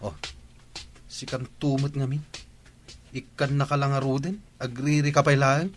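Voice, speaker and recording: A man's voice: mid-range voice, flat delivery, normal speed, slight background noise, close to an everyday voice. A man with a Filipino Ilocano accent acting as a practical, exasperated husband who chides his wife bluntly in a radio drama.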